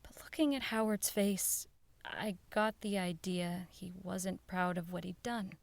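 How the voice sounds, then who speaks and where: A woman playing an uneasy radio producer who recalls eerie events in measured, dramatic audio drama narration. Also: fairly low pitch, steady tone, vocal fry, no room sound. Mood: grave, reflective. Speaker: narrator and radio show director. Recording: violin music behind the voice.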